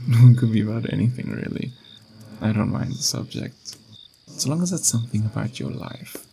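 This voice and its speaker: A man playing a patient, gentle, mysterious ageless being in an ASMR roleplay, speaking in an unhurried, philosophical, reassuring way with a gravelly, creaky voice. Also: mid-range voice, a little hiss or hum, natural voice. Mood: soothing, inviting. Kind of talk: ASMR roleplay, slow intimate monologue.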